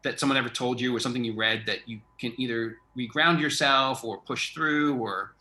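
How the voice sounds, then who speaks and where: A man's voice: medium pitch, fairly quick, bright, forceful tone. A man conducting a casual interview, rambling a little as he feels his way through questions and replies. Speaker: interviewer, theatre artistic director.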